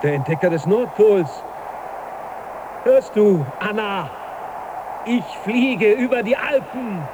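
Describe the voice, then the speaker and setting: An actor playing a dreamy, exalted husband who longs for adventure, declamatory and yearning, in a German radio play. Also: male voice, high voice, lively intonation, slow. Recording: noisy 1980s cassette radio recording.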